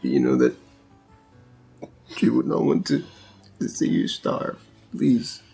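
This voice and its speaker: Sad pleading husband in a drama, slow n halting, pitch moves around a lot. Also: male voice, wildly swooping pitch, gravelly, husky, soft background music, heavily acted. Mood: tender pleading. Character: grieving, guilt-ridden husband, gentle and pleading. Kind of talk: screen melodrama acting.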